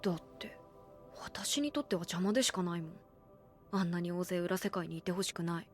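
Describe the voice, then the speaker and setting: A woman's voice: on the low side, fairly quick, faint music behind, natural voice. An actress playing a guarded, blunt young woman in an anime audio drama, speaking politely and a little hesitantly. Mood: blunt, resentful. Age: young adult.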